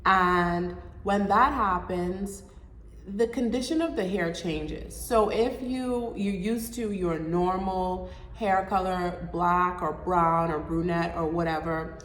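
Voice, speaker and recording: Young woman vlog host, nasal with a cold, lowish voice, long reverb around her. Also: takes it slowly, fairly bright tone. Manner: chatty, informal, explaining step by step. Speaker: show host. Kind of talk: casual hair-care vlog. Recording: echoey room with long reverb.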